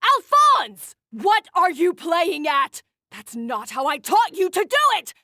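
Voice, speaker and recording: A woman's voice: very high voice, sing-song, slow pace, bright, forceful tone, no room sound, studio quality, exaggerated voice. A woman playing an imperious, demanding female head chef in a video game. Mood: angry, commanding.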